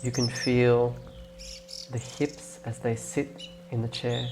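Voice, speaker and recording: Slow-paced man guiding a meditation. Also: mid-range voice, level tone, background noise. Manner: gentle, unhurried, long pauses, instructing. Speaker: meditation guide. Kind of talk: guided meditation. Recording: clear birdsong and nature ambience behind. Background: birdsong, nature ambience.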